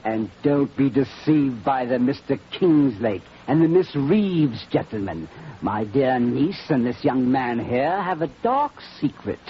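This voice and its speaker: Actor as a swooping, sneering drunken old uncle. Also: male voice, mid-range voice, animated, a little slow, natural voice. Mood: sly taunting. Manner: sarcastic, theatrical, taunting. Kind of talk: 1940s radio drama acting. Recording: muffled, hissy old radio recording.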